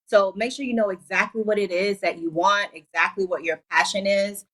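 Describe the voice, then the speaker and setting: A woman from Liberia in her forties, a guest on a TV talk show, upbeat and laying out her advice in clear numbered tips. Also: medium pitch, steady tone, normal speed, a bit bright. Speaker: entrepreneur guest.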